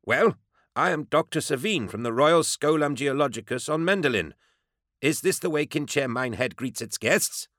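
A man's voice: mid-range voice, animated, normal speed, a bit bright, dry recording, studio quality, pitched above the performer's own voice, lightly acted. A man voicing a haughty, querulous academic, pompous and imperious, in an audiobook. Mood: indignant. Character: haughty, querulous male academic doctor, piqued and self-important.

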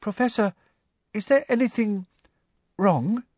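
A man voicing a timid, admiring teenage schoolgirl in an audiobook, soft and tentative. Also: sits high, lively intonation, unhurried, dry recording, studio quality, voice pushed up. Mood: hushed concern. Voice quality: hushed, soft. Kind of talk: audiobook character voice.

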